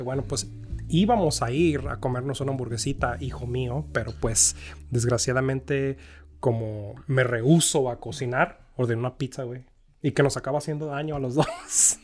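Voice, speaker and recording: A man in his thirties with a mid-range voice. He co-hosts a podcast with slangy, teasing, off-color humor. Faint music plays in the background. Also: mid-range voice. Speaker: podcast co-host. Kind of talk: casual chat.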